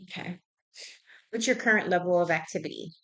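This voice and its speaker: A woman's voice: lowish voice, fairly quick, a bit bright, low-quality recording. A woman conducting a clinical intake interview as a health professional, conversationally checking and repeating answers. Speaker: health professional taking a patient history.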